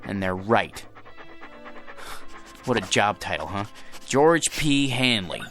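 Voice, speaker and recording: An actor playing a meek, self-deprecating, lonely office worker in a radio drama, speaking in a hesitant, halting way. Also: male voice, lowish voice, sing-song, full character voice. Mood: bitter, dejected. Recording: music loud behind the voice.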